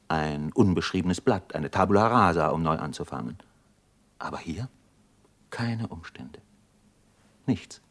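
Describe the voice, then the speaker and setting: A thoughtful man of medicine in a radio play, with a very low voice. He declaims intensely and reflectively, full of awe. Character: thoughtful doctor, awed by revolutionary upheaval. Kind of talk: German radio drama acting.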